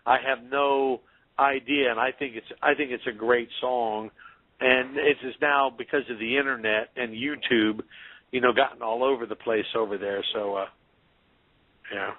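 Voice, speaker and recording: A man's voice: medium pitch, no room sound, narrow, tinny audio. A man from North Carolina, a metal band member, chatting casually in a radio interview and telling stories. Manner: storytelling, animated impressions.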